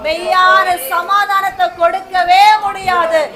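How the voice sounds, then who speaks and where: A woman with a very high voice preaches and leads prayer with fervent, repetitive urging. A congregation is clearly heard in the background. The recording comes from inside a large hall. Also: little pitch movement, takes it slowly, a crowd in the background. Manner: repetitive urgent exhortation. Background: congregation responding amen.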